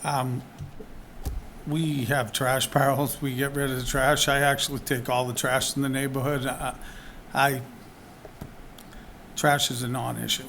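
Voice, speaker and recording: A man speaks from a podium microphone in a town meeting hall, earnest and somewhat defensive as he answers the board. His voice sits at an ordinary, mid-range pitch. A faint hum of the room stays under his words. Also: level tone, a little slow, vocal fry, long pauses, a bit bright. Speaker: business owner applying for a permit.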